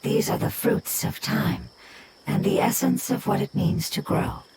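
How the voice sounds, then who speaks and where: A calm, wise fungal creature. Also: female voice, fairly high pitch, heavy background noise. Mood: calm explaining. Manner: measured, solemn, philosophical. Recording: game audio with loud background music.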